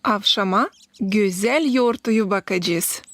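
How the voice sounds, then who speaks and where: A woman's voice: mid-range voice, measured pace, close to an everyday voice. A woman voicing a kindly, motherly, practical female dog in a children's cartoon. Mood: fond, instructing. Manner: motherly, gently instructing.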